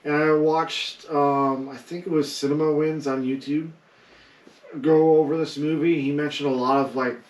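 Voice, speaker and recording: Man with lightly raised pitch, very roomy sound. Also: level tone, moderate pace. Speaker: podcast co-host. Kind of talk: casual group chat. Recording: echoey room, distant from mic.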